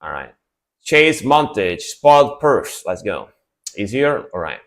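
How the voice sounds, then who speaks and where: A man's voice: lowish voice, sing-song, slow pace, vocal fry. A man reacting to music in casual livestream chat, often repeating words for emphasis. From Italy.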